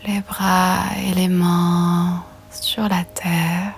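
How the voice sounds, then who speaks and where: A woman leads a guided yoga relaxation in a soft, breathy half-whisper. Her delivery is very slow and gentle, with hardly any rise or fall in pitch. Faint music plays behind her. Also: flat delivery.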